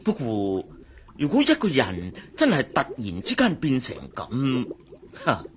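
A man with a Hong Kong Cantonese accent playing a chatty, excitable doctor who explains things eagerly in a radio drama. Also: medium pitch, a little slow, slight background noise. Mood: pondering, earnest. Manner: chuckling, explanatory, animated. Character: male doctor, chatty, excitable, fond of explaining his pet fish. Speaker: voice actor.

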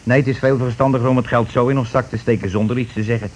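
An actor playing a scheming, bossy working-class husband who talks glibly and colloquially in a radio play, always justifying himself. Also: male voice, medium pitch, fairly even intonation, fast, lightly acted. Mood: sly, explaining. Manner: glib, colloquial, self-justifying. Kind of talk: Dutch radio play acting. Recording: noisy old radio play recording.

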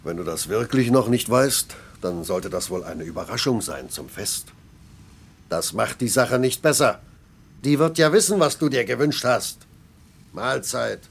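An actor playing a blunt, sarcastic middle-aged factory master in a German radio play, gruff and colloquial. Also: male voice, medium pitch, animated, measured pace, exaggerated voice. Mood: reproachful, blunt. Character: grumbling, blunt older factory master, male.

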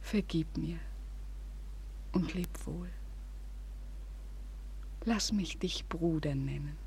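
An actress playing a despairing young woman in a 1940s German radio drama, with slow, breathy, solemn declamation on a noisy old recording. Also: low-pitched, darkish tone, exaggerated voice. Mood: tender, resigned. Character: despairing young woman bidding farewell before suicide.

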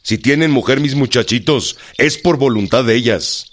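A man's voice: medium pitch, moderate pace, loud music behind the voice, lightly acted. A man acting in a radio drama as a blunt, defiant, folksy Mexican revolutionary general, plain-spoken and given to grunts. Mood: amused, defensive.

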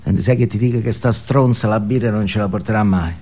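A man's voice: lowish voice, fast, warm tone, slight background noise, voice pushed down, lightly acted. A man playing a volatile, boastful, foul-mouthed drug-using outlaw in a theatrical stage monologue, badgering his listener. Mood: conspiratorial, quiet. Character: volatile swaggering male drug-using outlaw, manic and menacing.

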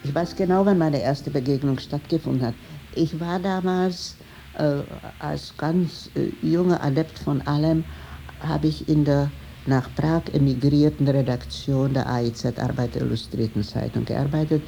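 An older woman giving spontaneous, deliberate and somewhat hesitant recollections as an eyewitness. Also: low voice, steady tone, vocal fry, mellow, a little hiss or hum, rough recording. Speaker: interviewee, eyewitness recalling the past. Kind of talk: spontaneous reminiscence.

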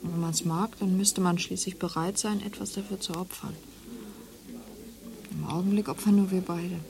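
A woman's voice: lowish voice, fairly even intonation, normal speed, creaky, a little mellow. An actress playing a worried, hard-up woman with a sober, plain-spoken manner in German radio play dialogue. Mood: resigned.

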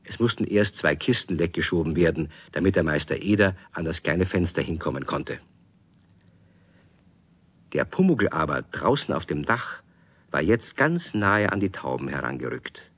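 A man's voice: sits low, very animated, moderate pace, a bit bright, full character voice. A man giving scripted narration as the storyteller of a children's radio play.

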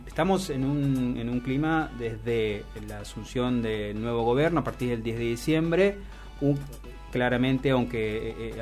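A man with an Argentine accent delivers news commentary as a radio co-host. He speaks in a mid-range voice, haltingly, doubling back on his phrases. Soft guitar music plays behind him. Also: mid-range voice. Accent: Argentine Spanish.

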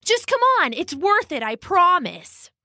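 A woman's voice: very high-pitched, very animated, measured pace, pushed and bright, dry recording, studio quality, pitched above the performer's own voice, full character voice. A woman voicing an eager, insistent young schoolboy in a children's story, emphatic and pleading. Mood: urgent, pleading.

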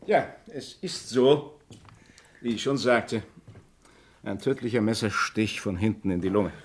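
An actor playing a probing, drily skeptical police commissioner in a radio crime drama, questioning in a clipped, businesslike way. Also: male voice, lowish voice, unhurried, slight background noise, lightly acted. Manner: clipped, matter-of-fact questioning.

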